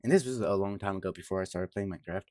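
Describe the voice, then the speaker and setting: A man's voice: deep voice, racing, a little mellow, no room sound, clean studio sound. A man from Georgia, co-hosting a podcast and asking questions in casual chat. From Georgia, United States.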